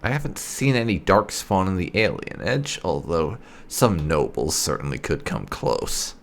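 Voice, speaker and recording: Low, cheeky man with gravelly creak. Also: very animated, a little slow, a little hiss or hum. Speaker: gaming channel player role-playing his character. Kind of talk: in-character roleplay of game dialogue.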